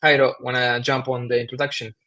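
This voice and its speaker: A man from Ukraine co-hosting a live online webinar. He admits he is nervous and talks haltingly, with restarts, over a computer mic. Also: mid-range voice, level tone, sharp tone. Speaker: webinar co-host. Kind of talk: live webinar, casual opening chat.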